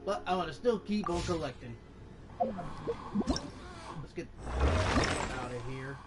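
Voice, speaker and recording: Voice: medium pitch, wildly swooping pitch, takes it slowly. A gaming channel host giving casual, informal gameplay commentary, often muttering to themselves. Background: game music.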